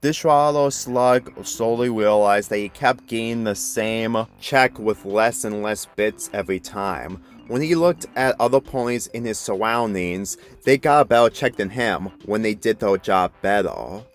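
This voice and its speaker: Male amateur story narrator, lowish pitch, slight stumbles, faint music underneath. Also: unhurried, few pauses. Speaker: fan fiction reader.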